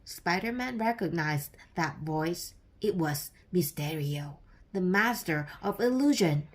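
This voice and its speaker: A woman reading a children's story aloud as scripted narration, with deliberate, expressive storytelling. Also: lowish voice. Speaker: storybook reader.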